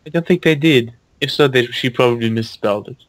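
A man chatting with friends, making quick joking remarks about a story being read. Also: medium pitch, no room sound. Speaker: friend listening in. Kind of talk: casual chat over voice chat.

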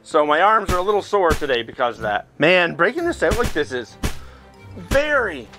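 A man giving casual, excitable commentary as he plays a virtual reality game, reacting aloud as he goes. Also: a little high, moderate pace, faint music behind. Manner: excitable, reacting aloud while physically playing. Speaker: video game streamer.

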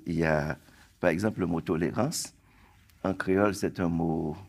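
A man's voice: sits low, creaky, breathy edge. A man in his sixties from Haiti, whose first language is Creole, speaking passionately as a poet on a round-table panel on a theatre stage. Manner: impassioned, gesturing, building to emphatic peaks.

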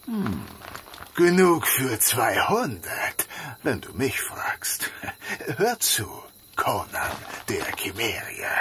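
An actor playing a sly, jovial, boastful big-bellied master thief, theatrical and conspiratorial, in a fantasy audio drama. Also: male voice, medium pitch, a bit bright, slight background noise, full character voice.

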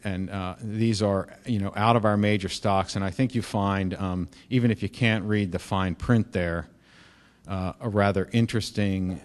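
A middle-aged man giving a conference keynote, explaining with frequent fillers and restarts, growing louder on key points. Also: lowish voice. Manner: halting, many fillers and restarts. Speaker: keynote speaker. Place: lecture hall. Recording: reverberant lecture hall.

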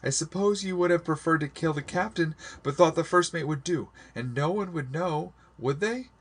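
A man puts on an anguished young woman from a storybook, pitched well above his own voice. She is bitter and defiant, throwing out accusations with raw emotion. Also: very animated, normal speed, breathy edge, exaggerated voice. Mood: tearful, accusing.

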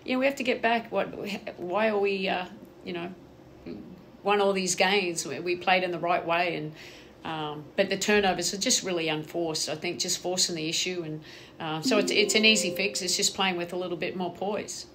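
A woman head coach giving measured postgame press answers on a table mic in a press room. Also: fairly low pitch, a bit bright, a little hiss or hum, low-quality recording.

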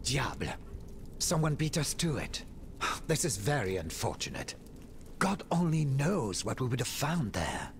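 A man's voice: medium pitch, big pitch swings, a little slow, slight background noise. An actor playing a pompous, silky statesman and schemer in a video game, theatrical, florid and courtly. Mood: dismayed, evasive. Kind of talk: video game cutscene acting.

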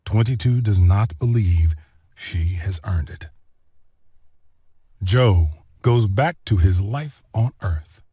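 A man narrating a children's read-along story in an expressive, deliberate storytelling manner. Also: fairly low pitch, dry recording, studio quality. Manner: expressive, deliberate storytelling delivery with clear phrasing.

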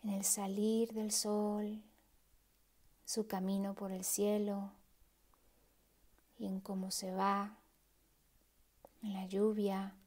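A woman leading a guided meditation in a soft, hushed, breathy voice with pauses. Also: medium pitch, level tone.

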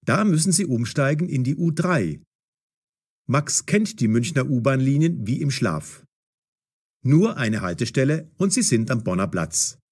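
A man narrating a scripted audio story for language learners in a measured, clearly enunciated way. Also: medium pitch, sing-song, darkish tone.

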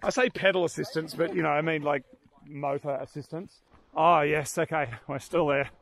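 A man's voice: a little high, steady tone, normal speed, fairly bright tone, no echo. A man in his thirties with an Australian accent, chatting casually to camera as he vlogs outdoors. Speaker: vlogger hosting an outdoor rescue video. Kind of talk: casual vlog chat to camera. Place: outdoors in bushland. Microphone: handheld camera at arm's length.

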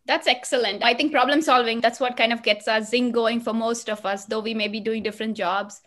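A woman hosting a podcast, reading a scripted intro and asking guests measured, friendly questions. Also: fairly high pitch, fairly even intonation, fairly bright tone. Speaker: podcast host.

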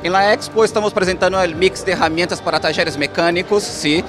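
Casting: male export manager, Brazilian accent, fairly high voice, explaining products in an interview with music behind. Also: fairly quick. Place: trade expo stand. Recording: music under the voice. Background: background music.

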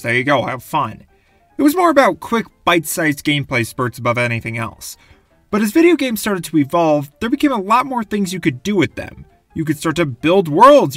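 A young man giving scripted, wry comic narration about video games in a casual, animated way. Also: a little high, no echo. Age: young adult. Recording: dry voice-over with music behind.

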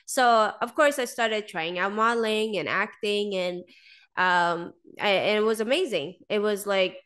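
A woman's voice: mid-range voice, measured pace, a bit bright, clean tone. A woman in her thirties, raised in Sweden, talking casually as a podcast guest over a video call, with lots of fillers and a desk microphone close by. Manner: chatty, many fillers and "like". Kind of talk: casual interview talk. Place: small indoor room, video call. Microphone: desk microphone in frame.

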